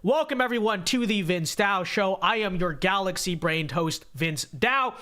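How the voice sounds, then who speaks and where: A young man hosting a live-stream political commentary show, talking fast and animated with frequent stammered restarts, his voice congested. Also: high voice. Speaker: host.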